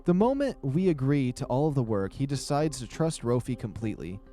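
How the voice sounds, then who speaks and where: A man reading first-person story narration in an expressive, dramatic storytelling manner. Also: mid-range voice, a little fast, faint music behind. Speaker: narrator and reader of a visual novel.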